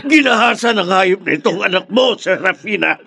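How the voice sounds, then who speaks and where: A raspy, gravelly old grandfather. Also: sits high, expressive, moderate pace, pushed and bright, full character voice. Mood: enraged. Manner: indignant, emphatic. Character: elderly, fiercely protective, hot-tempered grandfather. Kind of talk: Filipino radio drama acting.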